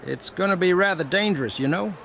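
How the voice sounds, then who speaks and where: Chatty old fantasy elder, crackly high voice, kindly, some noise behind. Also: male voice, a little fast, vocal fry, fairly bright tone. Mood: cheerful, wondering. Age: older. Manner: folksy, rambling, reassuring. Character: kindly, chatty old gnome-folk elder who loves mushrooms. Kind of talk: dramatized fantasy audio story.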